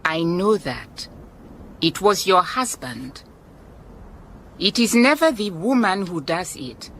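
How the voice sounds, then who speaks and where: An actress playing a warm, wise woman detective with a Southern African accent in a radio drama, speaking in a measured, emphatic way. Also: fairly low pitch, sing-song, exaggerated voice. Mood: grave, matter-of-fact. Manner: unhurried, measured, emphatic repetition. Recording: noticeable background noise, chirping night insects.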